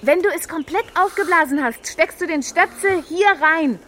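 A cheerful, meddling woman balloonist, chats in a high voice and explains things encouragingly to children. She sounds helpful and busy, in the style of a children's radio adventure. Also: moderate pace, pushed and bright, slight background noise. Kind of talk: children's audio play acting.

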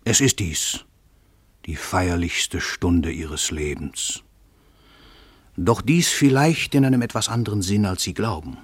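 Raspy, suave, cynical famous author. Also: male voice, sits low, lively intonation, slow pace, dry recording, full character voice. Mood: suave menace. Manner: condescending, theatrical, ironic. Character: suave, cynical, grandiose celebrity writer and murderer. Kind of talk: theatrical radio play acting.

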